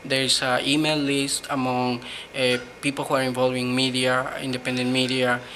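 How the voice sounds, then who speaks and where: A bright-voiced man with a Peruvian Spanish accent, speaking English as his second language. He answers in an earnest, measured way in a flat, steady tone, pausing on ums and uhs. Faint hiss sits behind him. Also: medium pitch. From Peru. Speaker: blogger, interview guest. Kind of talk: interview answers.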